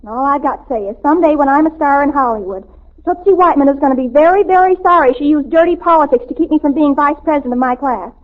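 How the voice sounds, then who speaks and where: A woman's voice: high-pitched, quick pace, lightly acted. A woman playing a dramatic, starstruck, self-important American teenage girl in a 1940s radio sitcom, gushing and theatrical. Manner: gushing, theatrical, grandly affronted. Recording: tinny old radio recording.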